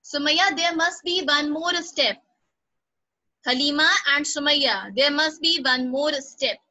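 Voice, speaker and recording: A woman's voice: sits high, a little slow, pushed and bright, muffled recording. A female teacher, leading an online class, encouraging students by name and repeating instructions. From Pakistan.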